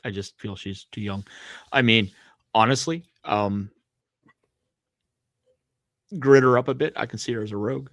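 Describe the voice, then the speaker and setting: Male co-host, lowish voice, hesitant and measured conversational delivery, dry sound. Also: fairly bright tone, no echo. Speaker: podcast co-host. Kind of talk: casual chat about comics and films.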